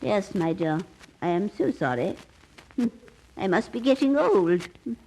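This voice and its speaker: An actress playing an ancient, eccentric aunt who brews potions, coy and gently hesitant, in an old radio comedy. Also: female voice, medium pitch, very animated, measured pace, low-quality recording. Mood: gently apologetic.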